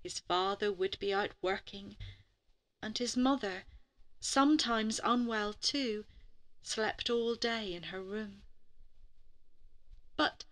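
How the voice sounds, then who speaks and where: A woman storyteller narrating a children's bedtime story in a dramatic, deliberate way, with pauses and breathy emphasis. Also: medium pitch, fairly bright tone. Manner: addresses young listeners with questions. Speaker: storyteller for a gallery's bedtime stories.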